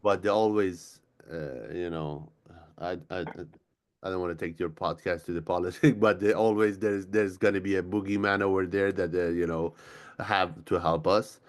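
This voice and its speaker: Very deep-voiced male guest, earnest and halting, repeating words as he speaks. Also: steady tone. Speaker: guest, executive director. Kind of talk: interview answers.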